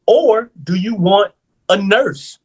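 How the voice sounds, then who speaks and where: A man in his thirties, a financial planner on a podcast, explaining things in a lively, conversational way and checking in with "right?". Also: high voice, slow, breathy edge. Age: 30s.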